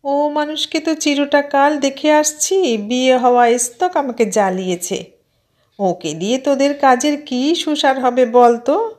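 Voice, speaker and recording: A woman's voice: high-pitched, measured pace, a little mellow, voice pushed up. A woman voicing an older housewife in an audio story, a boastful, complaining aunt who scolds and belittles her husband. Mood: mocking, dismissive. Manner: complaining, self-important, emphatic scolding.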